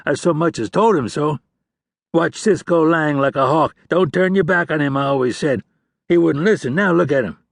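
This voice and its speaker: A man's voice: a little high, normal speed, vocal fry, dry recording, pitched above the performer's own voice. A man voicing a hard, menacing male gunslinger who speaks with scorn. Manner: threatening, scornful.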